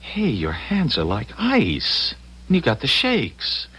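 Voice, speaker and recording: A man's voice: mid-range voice, huge pitch swings, slow pace, sharp tone, husky, exaggerated voice. A young American man acting in an old-time radio drama as an easygoing, affectionate graduate student with a breezy, slangy, reassuring way of talking. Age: young adult.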